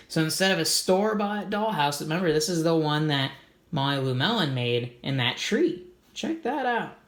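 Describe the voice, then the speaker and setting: Man reading to kids in an echoey room. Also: on the high side. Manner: simple, encouraging explanations addressed to children. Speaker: read-aloud host for children. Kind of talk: storybook reading with informal commentary.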